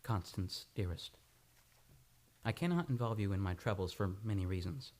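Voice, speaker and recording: A man's voice: on the low side, expressive, normal speed, a little mellow, natural voice. A man acting in an old-time radio drama as an earnest, anguished amnesiac on the run. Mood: tender, resigned. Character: troubled, lovelorn amnesiac man fleeing a murder charge. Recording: live radio play with music behind.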